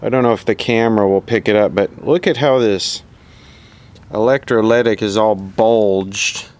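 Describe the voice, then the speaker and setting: A man giving casual hands-on repair commentary, thinking aloud in an offhand, low-key way as an electronics hobbyist. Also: normal speed, darkish tone. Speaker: electronics repair hobbyist presenting his own video.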